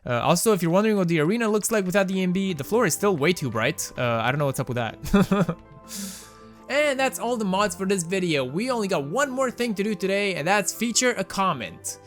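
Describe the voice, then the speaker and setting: Young male host, Romanian accent, animated slightly high delivery, self-mocking asides, faint music under. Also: brisk. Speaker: gaming video host. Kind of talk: casual game mod commentary voice-over.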